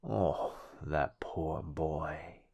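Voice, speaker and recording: A man voicing a possessive, teasing living shadow in an ASMR roleplay, breathy and whispery, drawing out his menacingly sweet words. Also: rumbling low, steady tone, darkish tone, highs cut off, heavily acted. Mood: teasing, sinister. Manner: drawn-out, menacingly sweet delivery.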